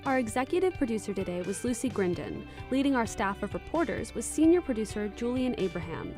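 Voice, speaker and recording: A woman's voice: a little high, lively intonation, brisk, music in the background. A woman co-hosting a student radio news show, presenting in a friendly, upbeat way. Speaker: co-host.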